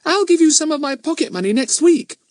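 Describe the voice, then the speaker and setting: A man voicing an eager, impulsive little girl in a storybook, excitable and gushing. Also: extremely high pitch, fairly quick, no echo, clean studio sound, pitched above the performer's own voice. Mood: delighted, gushing.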